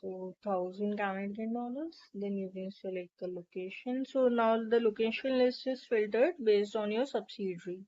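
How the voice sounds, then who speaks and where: A woman narrating a step-by-step software tutorial in an instructional, explanatory way. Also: mid-range voice, steady tone, normal speed, hardly any pauses. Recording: dull, lo-fi microphone sound.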